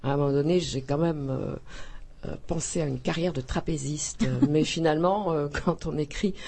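A woman's voice: low-pitched, moderate pace, mellow, husky. A woman author from Belgium in a radio interview, talking effusively with frequent hesitations, restarts and laughter.

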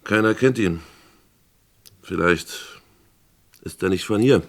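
A man's voice: on the low side, takes it slowly. An actor playing a matter-of-fact police inspector reporting to his superior in a radio play. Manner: reporting plainly. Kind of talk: German radio play acting.

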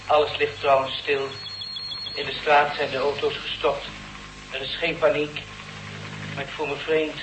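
An actor playing a radio announcer falling into a dazed trance, speaking slowly in a radio drama. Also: male voice, on the high side, reverberant, noisy background, natural voice. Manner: slow, flat, dazed. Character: entranced radio announcer, adult man, dazed.

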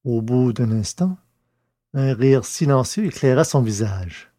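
A man reading scripted science fiction narration in a measured, literary way. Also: mid-range voice, animated, mellow, no room sound, studio quality. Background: music.

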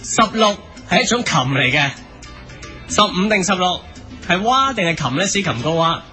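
Music underneath, upbeat quiz host, radio comedy, Hong Kong Cantonese accent, very high pitch, teasing, theatrical. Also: male voice, sing-song, moderate pace, pushed and bright, exaggerated voice. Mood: teasing, brisk. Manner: theatrical, teasing announcer delivery. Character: upbeat, mischievous male TV quiz show host. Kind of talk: parody game show radio comedy.

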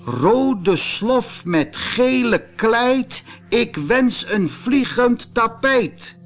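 Man doing a high-pitched, earnest boy wizard. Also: animated, very slow, soft background music, full character voice. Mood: anxious, determined. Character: earnest, plucky young boy apprentice wizard.

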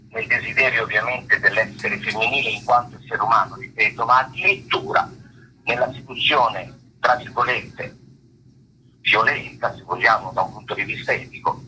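A man giving unscripted interview answers as an actor, haltingly searching for words and rephrasing himself. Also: medium pitch, sharp tone, a little hiss or hum, low-quality recording. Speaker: stage actor, interview guest.

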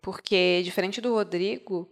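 A woman's voice: mid-range voice, moderate pace, faint music behind. A woman with a Brazilian accent giving casual, conversational commentary as on a podcast.

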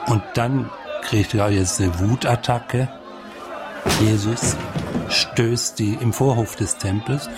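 A man with a fairly deep voice talks through a film as it plays. He is engaged and off the cuff, exclaiming and explaining over prominent music. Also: steady tone, slow pace, a loud crowd. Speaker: commentator on a film. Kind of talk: spontaneous spoken commentary. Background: loud music.

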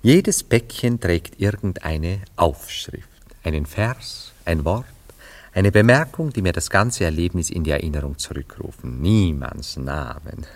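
An actor in a German radio play voicing a melancholy, sentimental philanderer in a solemn, wistful, theatrical manner. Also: male voice, low voice, expressive, a little slow, full character voice. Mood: proud, tender. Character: melancholy, sentimental male philanderer parting with his past.

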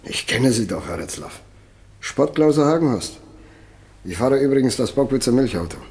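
An actor playing a chatty, grumbling milk truck driver in a radio drama, speaking colloquially. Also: male voice, mid-range voice, very animated, normal speed, gravelly, darkish tone. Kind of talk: naturalistic radio drama acting.

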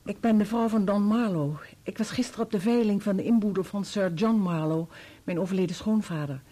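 An actress playing a polite, determined wife in a Dutch radio play, husky and purposeful. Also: female voice, medium pitch, brisk, mellow, natural voice. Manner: courteous, purposeful. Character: polite, determined wife seeking help for husband.

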